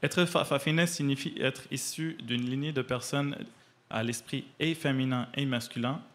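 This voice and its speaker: A man with a medium-pitched voice and as his gives a prepared arts column. He partly reads his reviews and explanations in the manner of a cultural radio segment. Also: steady tone, normal speed, a bit bright.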